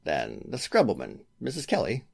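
A man's voice: on the low side, wildly swooping pitch, normal speed, gravelly, a little mellow, slightly rough, exaggerated voice. A man playing a scheming, formal lawyer with a killer's intensity in a 1940s-style radio drama. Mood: uneasy probing. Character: scheming, smooth-talking male lawyer turned desperate killer. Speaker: voice actor.

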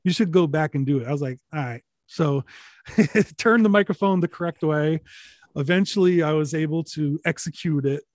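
Reflective man, higher voice, dry sound. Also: normal speed, dry recording. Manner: reflective, rambling with many fillers and restarts, casual swearing. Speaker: interview guest, recording artist. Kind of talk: relaxed conversational storytelling.

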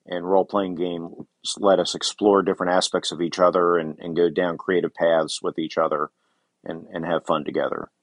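A man's voice: sits low, little pitch movement, moderate pace, no room sound. A man calling in to a podcast with a casual, unscripted message.

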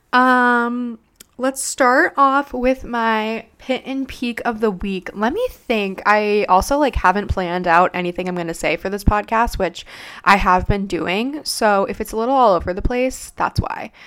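A woman's voice: medium pitch, fairly bright tone, clean tone. A young woman hosting her own podcast, chatting casually and rambling with lots of fillers, sounding congested from a cold. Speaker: podcast host. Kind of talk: casual unscripted solo podcast chat.

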